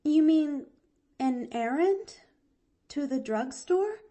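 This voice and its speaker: A woman voicing an eager, earnest, excitable young girl in an audiobook, with gushing, pleading delivery. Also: a little high, slow, voice pushed up, exaggerated voice. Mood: uncertain. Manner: gushing, breathless exclamations and pleading. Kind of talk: audiobook character voice.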